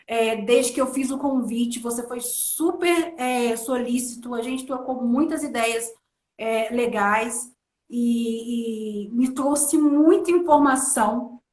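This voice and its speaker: Woman from Brazil, live-stream host, casual filler-heavy talk, high voice, echoey room recording. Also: slow pace, a little mellow.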